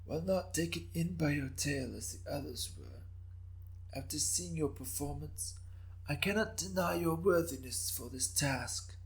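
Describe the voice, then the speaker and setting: Man as elderly feudal lord, shrewd, gently amused, formal measured phrasing, slightly high voice, historical drama. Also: vocal fry, poor audio. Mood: knowing, measured. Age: older. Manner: measured, formal, courtly speech. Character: shrewd, courteous old Japanese daimyo, calmly amused. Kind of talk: dramatic reading of historical fiction.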